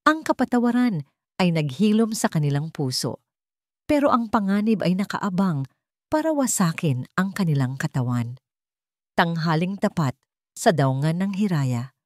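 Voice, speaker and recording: Low-voiced woman narrating a dramatic story. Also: big pitch swings, normal speed, a little mellow, no echo, clean studio sound.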